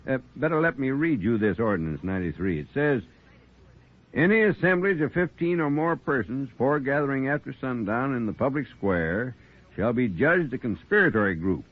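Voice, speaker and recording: Folksy small-town American judge, old radio. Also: male voice, mid-range voice, animated, moderate pace. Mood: reluctant, exasperated. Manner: folksy, homespun rural way of talking. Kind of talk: 1940s radio acting. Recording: scratchy, husky-sounding 1940s radio recording, phone-like.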